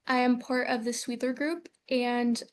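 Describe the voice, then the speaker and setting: A woman's voice: a little high, flat delivery, unhurried. A young woman from Ukraine giving a student research presentation over a video call, earnest and full of um fillers. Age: young adult.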